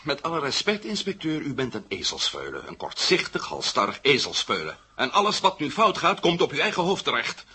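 A man's voice: a little high, wildly swooping pitch, brisk, hardly any pauses, sharp tone, husky, exaggerated voice. An actor playing a defiant, hot-headed man held by police, arguing emphatically and cuttingly in a 1970 radio drama. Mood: defiant, indignant.

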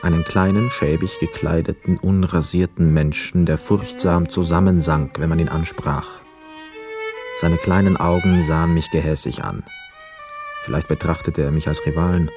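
An actor playing a brooding young hotel guest, narrating a literary radio play in a reflective, measured, sombre manner. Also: male voice, low voice, fairly even intonation, music in the background. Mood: observant, detached.

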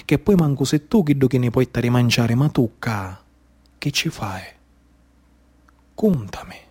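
A man with a Sicilian accent performing a dramatic storytelling monologue in a declamatory, incantatory way with deliberate, repeated phrasing. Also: medium pitch, lively intonation, a little mellow, slightly rough. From Sicily, Italy. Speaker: stage storyteller, actor.

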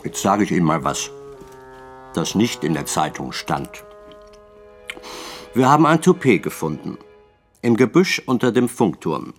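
An actor playing a senior police detective in a radio play, questioning with dry, formal politeness. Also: male voice, sits low, very animated, measured pace, pauses often, soft background music. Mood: pressing, dry. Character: senior police detective, probing, dryly sarcastic interrogator.

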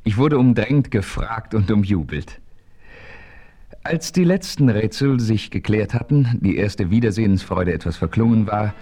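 An actor playing a seasoned frontier hero who narrates in a calm, measured, authoritative way in an old radio play. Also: male voice, on the low side.

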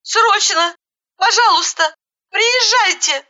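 A woman voicing a frantic, sharp-tongued woman in an audiobook, shrieking and indignant. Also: extremely high pitch, slow pace, lo-fi sound, voice pushed up, heavily acted. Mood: panicked.

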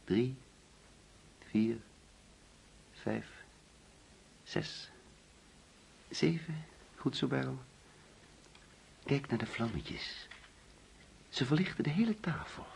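An actor in a radio play as a grieving, middle-aged father, menacing and controlling, speaking in a deliberate, coaxing yet commanding way. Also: mid-range voice, lively intonation, vocal fry, slight background noise, heavily acted, poor audio. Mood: quiet menace. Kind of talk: radio drama acting.

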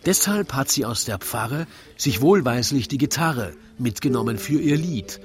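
A man's voice: mid-range voice, animated, measured pace, faint music behind. A man with an Austrian accent narrates a radio play in scripted rhyming verse, in a wry storytelling manner.

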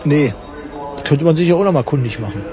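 A man playing a bored, contrary travel companion, deadpan and colloquial, in a conversational radio play. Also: on the high side, fairly quick, music in the background, lightly acted.

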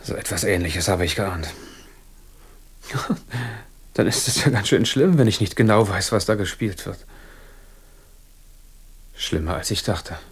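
An actor in a German radio drama playing a wealthy master of the house, wry, evasive and given to flowery irony. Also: male voice, low-pitched, unhurried, long pauses, husky, heavily acted. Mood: uneasy. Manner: wry, ironic, grandiloquent.

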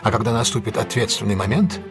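An actor playing a composed, decisive gentleman detective in an audio drama, speaking with measured, courteous authority. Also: lowish voice, music in the background, close to an everyday voice.

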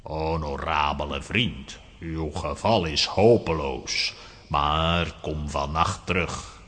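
An actor playing a grave fortune-telling astrologer in a children's audio play, ceremonious and portentous. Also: male voice, rumbling low, slow pace, a bit bright, breathy edge, exaggerated voice. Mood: solemn.

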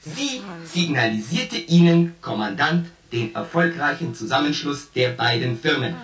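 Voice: mid-range voice, sing-song, unhurried, fairly bright tone. An actor voicing a shipboard artificial intelligence in a science fiction radio play, coldly logical and pedantic as it itemizes its points. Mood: methodically accusing. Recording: roomy echo, distant voice, music behind.

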